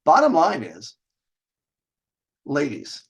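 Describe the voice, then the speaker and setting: Animated, higher-voiced man telling a story. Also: creaky, husky. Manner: emphatic, drawn-out stressed phrases with rhetorical questions. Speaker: host of a dating advice show. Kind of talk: casual storytelling monologue with advice to viewers.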